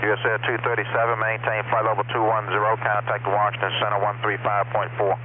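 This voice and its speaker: Fast-talking male air traffic controller over radio. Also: on the low side, monotone. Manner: rapid clipped radio phraseology. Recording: tinny radio channel with static hiss.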